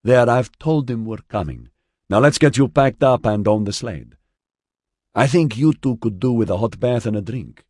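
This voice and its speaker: A man voicing a gruff, cheery, weathered woman in her sixties with a northern Mediterranean accent in an audiobook. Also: mid-range voice, moderate pace, darkish tone, no room sound, clean studio sound, voice pushed up, close to an everyday voice. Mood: breezy, reassuring.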